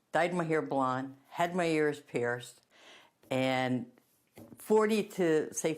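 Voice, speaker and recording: A woman's voice: rumbling low, darkish tone. A woman in her sixties reflecting candidly and wistfully on getting older in an interview. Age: 60s. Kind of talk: personal reflection.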